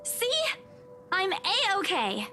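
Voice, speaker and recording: Actress doing stubborn perky young worker in a vn, super high n bouncy, music behind. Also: female voice, sing-song, very slow, bright, forceful tone, exaggerated voice. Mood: cheerful, eager. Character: stubborn, upbeat young worker brushing off an injury. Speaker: voice actor in a visual novel horror game. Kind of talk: scripted game dialogue. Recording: game music underneath. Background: background music.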